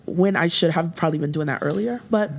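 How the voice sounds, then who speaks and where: Low-voiced woman, candid and reflective. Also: quick pace, gravelly. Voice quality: deeper voice for a woman. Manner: candid, reflective, with laughs and many fillers. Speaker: rapper, guest. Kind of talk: casual interview chat.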